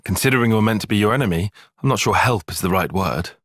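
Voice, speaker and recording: An actor playing a guarded, analytical young soldier-tactician in a video game, with formal, bookish phrasing and a dry, prickly edge. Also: male voice, on the low side, fast, fairly bright tone, no room sound. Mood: analytical, measured. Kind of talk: video game cutscene acting.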